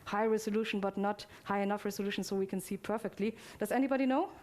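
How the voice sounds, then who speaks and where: A woman's voice: mid-range voice, fast talker, darkish tone, a little hiss or hum. A woman giving an informal live guided demonstration in a chatty way, putting playful questions to her audience. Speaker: presenter.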